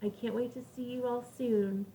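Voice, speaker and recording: A woman's voice: fairly high pitch, steady tone, normal speed, warm tone. A woman teacher reading aloud to young children, explaining things in simple words and putting questions to her listeners. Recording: distant microphone with faint background noise.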